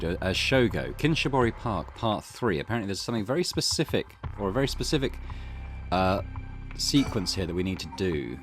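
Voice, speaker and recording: A man hosting a game playthrough, explaining and thinking aloud, often correcting himself mid-sentence. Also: lowish voice. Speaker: let's play host. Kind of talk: game commentary.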